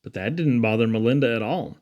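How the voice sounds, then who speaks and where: A man reading children's poetry aloud in an expressive, theatrical storytelling style with dramatic emphasis. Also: medium pitch, a little fast, highs cut off. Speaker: reader.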